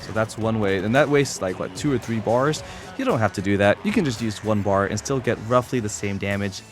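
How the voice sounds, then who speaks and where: Low-voiced man giving a casual gaming tutorial. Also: a little fast, music in the background. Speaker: competitive gamer demonstrating a character. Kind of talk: unscripted fighting game tutorial commentary.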